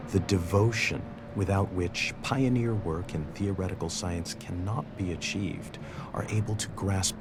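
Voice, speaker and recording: An actor reading philosophical quotations in a deliberate, earnest and reflective way, as an audio log in a video game. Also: male voice, deep voice. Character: solemn, reflective male reader of philosophical quotations. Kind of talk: measured literary quotation reading.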